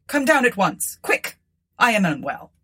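A woman's voice: mid-range voice, very animated, measured pace, a bit bright, slightly rough, voice pushed up, exaggerated voice. A woman voicing a frightened, guilty and hysterical woman with urgent exclamations, in a gothic storybook reading. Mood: urgent, shaken.

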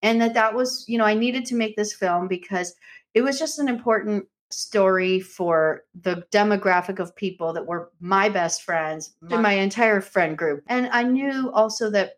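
A woman's voice: mid-range voice, normal speed. A woman documentary director as a podcast guest, effusive and self-deprecating in casual conversation. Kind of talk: casual interview.

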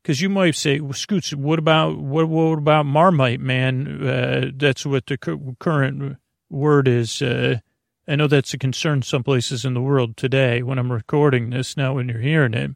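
A man hosting a bedtime podcast, rambling through goofy tangents and self-interruptions in a soft, creaky voice. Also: medium pitch, steady tone, normal speed, no room sound, studio quality. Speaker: podcast host.

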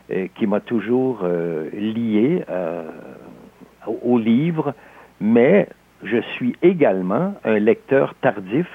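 Older husky-voiced Québécois man, measured. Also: lowish voice, phone-quality sound. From Alsace, France. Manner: measured, halting with frequent hesitations. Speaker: interview guest, novelist. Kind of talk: reflective interview talk.

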